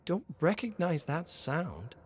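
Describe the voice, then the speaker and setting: A man's voice: on the high side, big pitch swings, slow pace, voice pushed up. A man voicing an uneasy, wary young woman hiker in a horror story. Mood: nervous.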